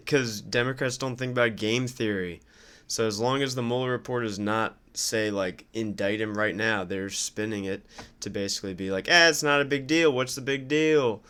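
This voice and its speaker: A man doing casual political reaction commentary, sardonic, incredulous and quick to swear. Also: mid-range voice, fairly bright tone, clear, resonant tone. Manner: sardonic, incredulous, foul-mouthed. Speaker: streamer commenting on news clips.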